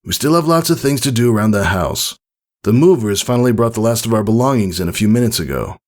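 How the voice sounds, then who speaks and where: A man's voice: on the low side, lively intonation, quick pace, a little mellow, pitched above the performer's own voice, lightly acted. Guy doing a cheery pegasus dad from a storybook, playfully bossy, voice swings around a lot. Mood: brisk, bossy. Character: cheerful, commanding pegasus pony father. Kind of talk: storybook character reading.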